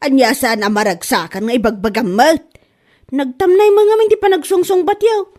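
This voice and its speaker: A woman playing a quarrelsome, gullible, indignant woman in a comedy audio drama, with an Ilocano accent and drawn-out exasperated delivery. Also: high-pitched, a little mellow, close to an everyday voice. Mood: indignant, scolding. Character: quarrelsome, gullible woman accusing a bag seller of lying. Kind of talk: Ilocano comedy radio-style drama acting.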